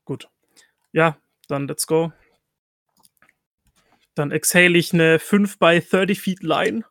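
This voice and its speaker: A man with a slightly high voice plays at a tabletop game. He talks casually and thinks out loud as he works through his moves, dropping in English game terms. The sound is dry and close, without room echo. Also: slow.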